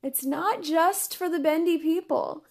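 A woman hosting a casual solo podcast, chatty and upbeat with frequent laughs, her voice going hoarse. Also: very high voice, a little slow. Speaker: podcast host.

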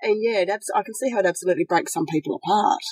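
A woman with a husky voice, congested with a bad cold, co-hosting a casual chat. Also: mid-range voice, very fast, darkish tone, no room sound. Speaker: co-host. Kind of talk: casual chat between co-hosts.

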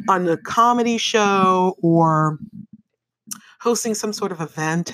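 Raspy, sassy woman podcast host. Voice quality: deep, raspy. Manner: sassy, swears freely, laughs often. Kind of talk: casual solo podcast monologue. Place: home bedroom. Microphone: microphone on a stand, held in hand.

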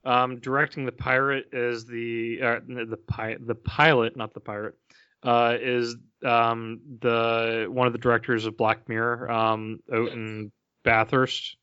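A man co-hosting a casual podcast, speaking in a measured, hesitant way as he thinks aloud. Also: medium pitch, flat delivery, sharp tone, clean tone, dry recording, muffled recording. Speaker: podcast co-host. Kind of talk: casual chat about video games and movies.

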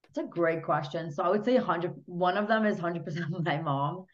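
A woman's voice: fairly low pitch, moderate pace, dull audio. A woman from Hungary talking casually and earnestly as a guest in an interview, in an informal, discursive way. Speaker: podcast guest. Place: remote online podcast call.

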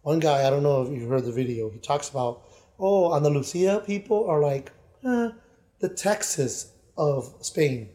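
Mellow-voiced man chatting on a podcast. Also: fairly high pitch, expressive, moderate pace. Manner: rambling, with many restarts and put-on quoted voices. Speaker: podcast co-host. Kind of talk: casual chat. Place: Miami.

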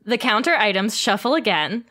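A woman's voice: mid-range voice, normal speed. Woman narrating a playful table read. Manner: lively, reads with playful asides. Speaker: narrator reading stage directions.

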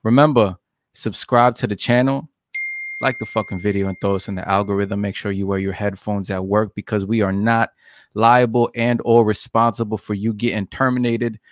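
A man's voice: lowish voice, steady tone, normal speed, no echo. A man co-hosting a podcast, delivering a brash, cocky promotional intro. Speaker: podcast co-host. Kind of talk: rapid-fire show intro.

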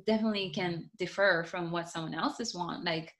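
A woman's voice: on the low side, steady tone, moderate pace, a bit bright. A woman with a Japanese accent, Japanese as her first language, speaking as an author being interviewed, talking casually in loose restarts full of "like". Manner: talks in loose restarts with many "like" fillers. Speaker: guest, author.

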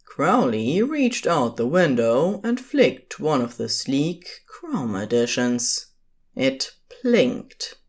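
A woman with a very low voice narrates a story from a script. Her delivery is slow and measured, with lively movement in the pitch.

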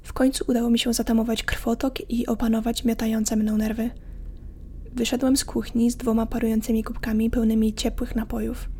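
Female horror podcast narrator: slightly high voice, flat measured intonation, hushed suspense. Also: a little hiss or hum. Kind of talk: scripted horror story narration. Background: faint music.

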